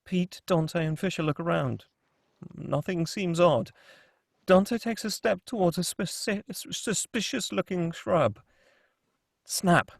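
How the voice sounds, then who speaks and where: A man's voice: high-pitched, takes it slowly, gravelly, no echo, studio quality. A man reading screenplay stage directions as narrator at a table read.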